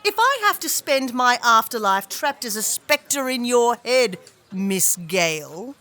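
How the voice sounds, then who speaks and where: Vindictive female spectre, bright cutting voice, taunting, raised pitch, audio drama. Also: sing-song, unhurried, full character voice. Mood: menacing. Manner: taunting, sarcastic. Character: spiteful female ghost haunting a young woman's head.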